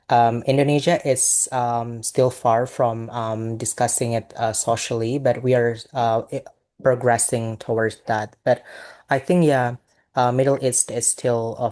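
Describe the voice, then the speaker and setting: A young man from Saudi Arabia talks as a guest on a podcast. He answers in a relaxed, conversational way, often stopping on ums and uhs while he finds the next phrase. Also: mid-range voice. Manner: hesitant, many ums and uhs. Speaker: podcast guest, PhD researcher.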